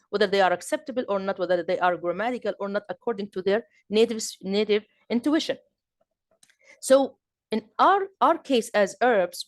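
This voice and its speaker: A woman's voice: mid-range voice, no room sound. Jordanian woman giving a linguistics lecture, lots of uhs and restarts, dry sound. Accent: Jordanian Arabic. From southern Jordan. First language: Arabic. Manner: hesitant, many fillers and restarts. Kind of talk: academic lecture.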